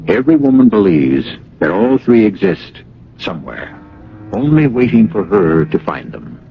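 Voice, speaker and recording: Theatrical low-voiced man hosting old radio. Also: huge pitch swings, gravelly, slight background noise. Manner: dramatic, deliberate, literary. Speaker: host of radio mystery series. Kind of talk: theatrical scripted radio narration. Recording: dull old radio broadcast, music.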